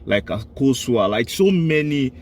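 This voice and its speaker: A man's voice: medium pitch, big pitch swings, a little slow, noisy background. A man hosting a talk show, speaking in an ordinary mid-range voice. He eagerly affirms his guest and chimes in with points of his own. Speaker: show host. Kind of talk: conversational discussion.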